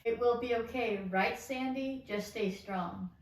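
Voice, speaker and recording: In a roomy, slightly distant recording, a woman plays a plucky young orphan girl at an amateur table read of a musical. She speaks slowly, in a fairly high voice. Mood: reassuring.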